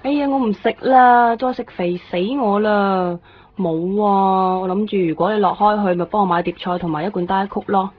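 A woman's voice: mid-range voice, normal speed, slight background noise, natural voice. Woman playing chatty coworker, hong kong cantonese, radio drama, casual n gossipy, kinda muffled recording. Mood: chatty, nagging. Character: chatty female office worker, casual and gossipy. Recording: dull band-limited radio broadcast.

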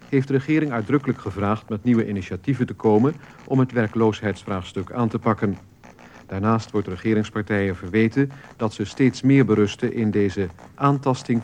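An actor playing a sober male radio newsreader, reading a scripted bulletin in a formal, measured way. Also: on the low side, darkish tone, slight background noise, lightly acted.

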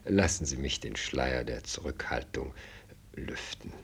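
An actor playing an elderly, deferential state minister in a radio drama, speaking in halting, ceremonious courtly phrases. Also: male voice, a bass voice, lively intonation, gravelly, a bit bright, husky, whispered, exaggerated voice. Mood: concerned, discreet. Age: older. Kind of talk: German radio drama acting.

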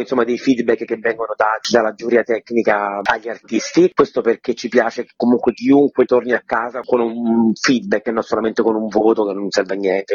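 A man's voice: mid-range voice, breathy edge, no echo. A man giving wordy, spontaneous explanations as a theatre director interviewed on radio. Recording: dull lo-fi line, dry.

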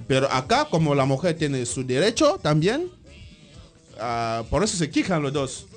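A man from Cameroon, a radio interview guest who talks in halting restarts and keeps checking that he is understood. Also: a little high, sing-song, soft background music.

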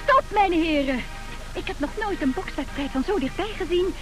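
High-voiced headstrong young heiress. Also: female voice, lively intonation, moderate pace, a bit bright, poor audio. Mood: delighted, playful. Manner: insistent, theatrical, imperious. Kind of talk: old Dutch radio comedy acting. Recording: old radio play, music behind.

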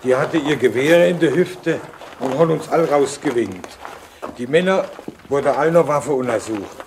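A man playing an old villager, recalling wartime hardship plainly and haltingly in a radio play. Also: mid-range voice, natural voice. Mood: plain recollection. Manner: plain, halting, colloquial storytelling. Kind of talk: radio play eyewitness recollection. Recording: clear background noise.

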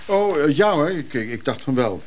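A man's voice: a little high, sing-song, normal speed, noisy background. An actor playing an easygoing but evasive male companion in a radio play, speaking casually and hesitantly. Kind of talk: naturalistic radio play acting.

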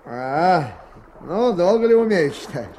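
A man playing a hearty, rough-and-ready log-driving workman in a radio play. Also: high voice, animated, slow pace, a little mellow. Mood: gruff, friendly. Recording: noisy river ambience behind the voice.

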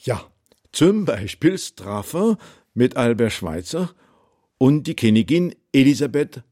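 A man's voice: medium pitch, sing-song, measured pace, mellow. An older man from Alsace with an Alsatian accent, telling anecdotes as an interview guest. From Munster valley, Alsace. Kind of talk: anecdotal storytelling.

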